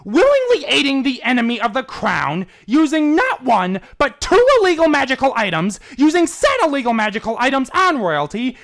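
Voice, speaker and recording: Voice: sits high, a bit bright, pitched above the performer's own voice, exaggerated voice. An amateur reader voicing a stern, angry stallion prince and protective older brother, accusatory and emphatic, in a fan fiction reading.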